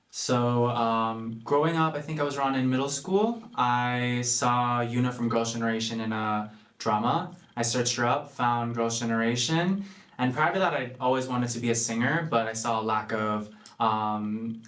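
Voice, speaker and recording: A man's voice: mid-range voice, fairly bright tone, echoey room. A young man from New York who sings in a pop group, answering interview questions casually and upbeat. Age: young adult.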